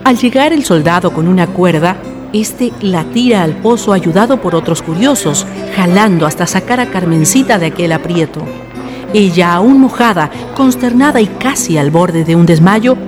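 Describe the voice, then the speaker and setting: A woman narrating a scripted radio drama in a literary storytelling style. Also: fairly low pitch. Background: guitar music.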